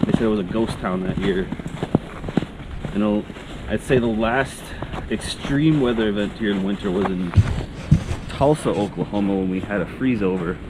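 A man with a fairly low voice chats casually while out walking with a companion. The recording is made outdoors, and loud ambient noise runs heavily behind his speech. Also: normal speed, long pauses. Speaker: hiking companion. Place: outdoors on a snowy woodland trail.

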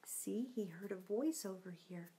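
A woman's voice: mid-range voice, measured pace, dark tone, a little hiss or hum. A middle-aged woman reading a storybook expressively to children, with simple chatty asides and questions. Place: living room at home.

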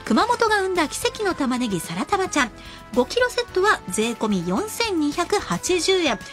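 Female radio shopping announcer, high voice with lively, swooping intonation, scripted sales read. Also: normal speed, faint music behind.

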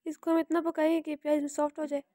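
Quick, flat-voiced woman cooking host. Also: high-pitched, no echo, studio quality. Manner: plain, repetitive instructional narration. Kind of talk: step-by-step recipe voice-over.

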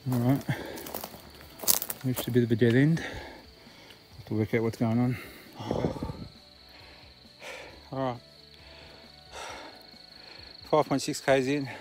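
A man's voice: mid-range voice, noisy background. A man in his thirties with an Australian accent, talking casually to camera while hiking a bush trail at night, out of breath and puffing between phrases. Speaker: hiker vlogger. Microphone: camera held close at arm's length.

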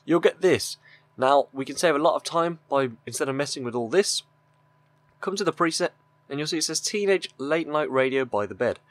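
A young man giving a casual, upbeat YouTuber voice-over for a software tutorial. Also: on the high side, lively intonation, moderate pace, no room sound. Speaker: tutorial video host. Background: background music.

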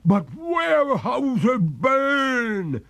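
A man voicing a genteel, desperate female water ghost who pleads in drawn-out, formal, old-fashioned speech. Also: extremely high pitch, big pitch swings, fairly bright tone, voice pushed up, full character voice. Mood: threatening.

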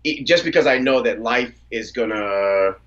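A man's voice: medium pitch, normal speed. Man telling stories over a dull video call. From United States, Washington DC area. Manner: relaxed storyteller, laughs easily. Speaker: guest rapper. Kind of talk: casual interview on a video call. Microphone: video call from a phone or laptop.

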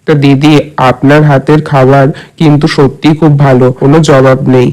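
A man's voice: mid-range voice, level tone, moderate pace, darkish tone, soft background music, natural voice, poor audio. A man playing a courteous, generous traveller in a cartoon moral tale, speaking politely. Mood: appreciative. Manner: polite, appreciative. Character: courteous, generous male traveller, village guest.

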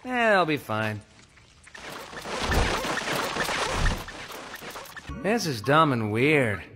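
Smug prankster cartoon rabbit, teasing n sarcastic, voice swings around, music behind. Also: male voice, mid-range voice, very animated, measured pace. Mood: dry, sarcastic. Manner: sardonic, teasing. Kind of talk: animated web series acting.

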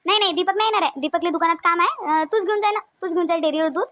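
Woman acting a shrill, fast-talking nagging village wife. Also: fairly bright tone. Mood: brisk. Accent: Varhadi Marathi of Vidarbha. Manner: complaining, scolding, rhetorical questions. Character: sharp-tongued, nagging middle-aged village wife and mother-in-law. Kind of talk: comic cartoon dialogue.

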